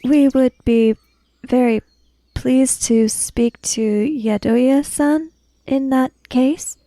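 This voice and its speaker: A woman's voice: high-pitched, slow pace, dark tone, no echo. A woman playing a tabletop roleplaying game, speaking and describing her character's part.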